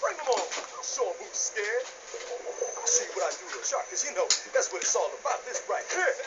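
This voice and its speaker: Cocky, excitable young fish hustler. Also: male voice, very high-pitched, a little fast, highs cut off, very noisy. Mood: boastful bravado. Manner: streetwise, slangy, boastful. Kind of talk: animated video game acting. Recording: music behind.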